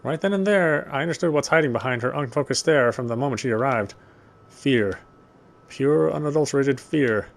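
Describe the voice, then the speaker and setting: A man with a mid-pitched voice reads story narration from a visual novel. He takes it in a measured, literary manner, occasionally tripping on a phrase and going back over it. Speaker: let's player reading game text.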